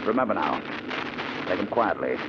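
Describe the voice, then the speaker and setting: An actor playing a calm, menacing hijacking gang leader with a deliberate, commanding delivery in 1950s radio drama style. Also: male voice, deep voice. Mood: brisk, commanding. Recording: noisy, muffled 1950 radio recording.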